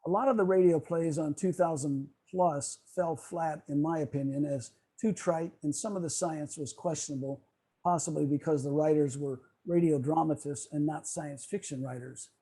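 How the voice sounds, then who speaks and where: Husky, mellow man giving a talk. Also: on the high side, steady tone. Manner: measured, explanatory, stumbles while reading. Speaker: club presenter on old-time radio. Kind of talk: semi-scripted lecture presentation. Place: online video call.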